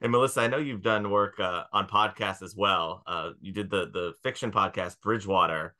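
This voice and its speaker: A man in his thirties hosting a podcast, asking casual questions with halting starts, over a video call. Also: deep voice, bright, forceful tone. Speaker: podcast host.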